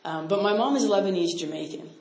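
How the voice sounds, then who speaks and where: A Canadian woman gives a relaxed Bible lesson to a small group, asking them questions and adding chatty asides as she goes. Her voice sits at an ordinary middle pitch for a woman. The room gives every word a noticeable echo. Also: quick pace. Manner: conversational, chatty, with frequent "like" and "right?" asides. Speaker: missionary speaker. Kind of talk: casual Bible teaching talk with audience questions. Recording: roomy echo.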